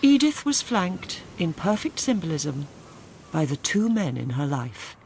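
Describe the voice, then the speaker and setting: An Englishwoman narrating a radio drama in a dry, measured, literary storytelling manner. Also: sits low, big pitch swings, a little mellow, slight background noise, exaggerated voice.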